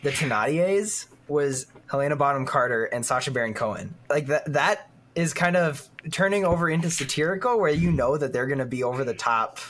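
A man's voice: on the high side, animated, brisk, few pauses, a bit bright, slight background noise. A man co-hosting a podcast, talking informally in casual, conversational discussion. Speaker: podcast co-host.

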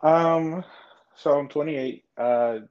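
A man's voice: on the high side, slow pace, darkish tone, clear, resonant tone. A man in his twenties, a podcast guest, speaking thoughtfully and hesitantly with many fillers. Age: 20s. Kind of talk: casual interview chat. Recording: slightly dull lo-fi audio.

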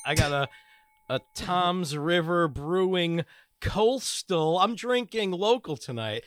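A man's voice: high voice, lively intonation, takes it slowly, a bit bright. A man co-hosting a casual comedy podcast, giggly and rambling, swearing freely. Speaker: podcast co-host.

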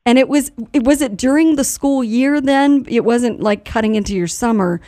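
A woman with a slightly high voice hosts a casual radio interview. She is chatty and conversational, and the sound is dry with no room around it. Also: normal speed, dark tone, dry recording. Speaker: radio show host interviewing students. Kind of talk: live interview, casual chat.

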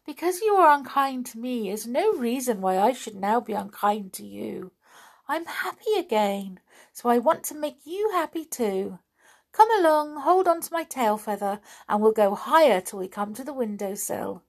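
A woman voicing a kind, gentle female thrush who talks in a sing-song and repeats her words. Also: fairly high pitch, lively intonation, moderate pace, pitched above the performer's own voice. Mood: forgiving.

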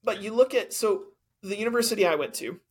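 High-voiced man debating on a podcast. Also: lively intonation, fast talker, husky. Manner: argumentative, explains with examples. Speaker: podcast co-host; teacher. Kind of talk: casual debate chat.

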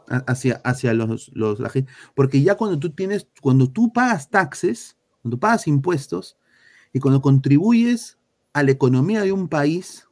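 A man's voice: medium pitch, darkish tone. A man from Peru with a Peruvian Spanish accent, ranting bluntly and crudely as a football talk host. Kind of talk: heated casual football talk.

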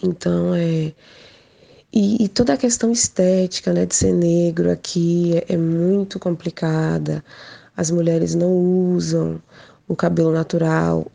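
A woman shares a personal account informally and reflectively. Her voice is low and full of vocal fry, with very little melodic movement. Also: fairly even intonation, measured pace, warm tone. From Portugal. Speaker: guest speaker sharing personal experience.

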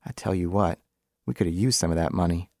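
A man's voice: sits low, quick pace, a little mellow, dry recording, clean studio sound. A man voicing an envious, weary, outspoken wife who complains in blunt colloquial talk, in a story reading. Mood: complaining.

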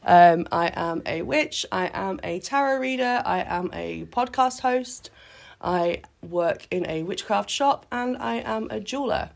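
A woman in her late thirties from Britain, hoarse with a cold, chatting casually as a podcast co-host and often restarting her sentences. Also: mid-range voice, a little slow. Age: late 30s. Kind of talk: casual chat. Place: sitting on the floor in a home play fort.

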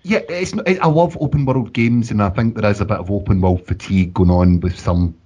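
A man's voice: fairly low pitch, brisk, dark tone, breathy edge, highs cut off. A man hosting a podcast in casual conversation. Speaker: podcast host.

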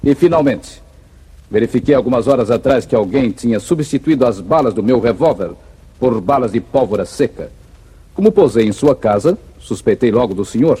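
A fearless cowboy hero of the Brazilian backlands in an old radio serial. His voice is very husky and mellow, with confident, declamatory delivery on a worn vintage recording. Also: medium pitch, expressive, normal speed. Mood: accusing. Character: heroic male gunfighter of the backlands, calm, righteous, fearless.

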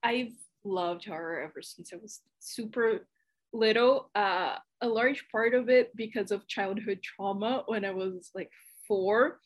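A woman from Brazil with a Brazilian Portuguese accent, speaking English as a guest on a podcast and telling stories with quick laughs. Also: mid-range voice. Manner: animated storyteller, quick laughs. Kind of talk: casual chat.

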